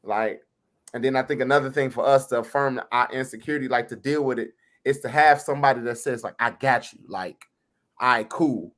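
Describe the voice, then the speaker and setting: A man's voice: medium pitch, fairly bright tone. A man who plays music at church, speaking earnestly on a panel and acting out encouraging quotes. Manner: earnest, quotes others in his telling, quick bursts. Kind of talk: casual panel chat.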